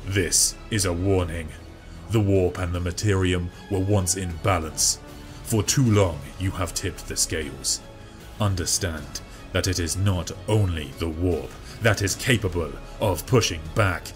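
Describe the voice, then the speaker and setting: A narrator puts on a commanding demigod warrior-king, stern and solemn. The voice sits deep and moves at an unhurried, weighty pace, each line booming with authority. Soft music plays faintly behind. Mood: grave warning. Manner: grave, slow, booming delivery. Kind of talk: audiobook character voice.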